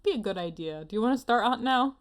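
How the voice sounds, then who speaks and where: A woman's voice: fairly high pitch, lively intonation, fast. A woman co-hosting a podcast in casual chat, with a giggly, chatty manner. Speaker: podcast co-host.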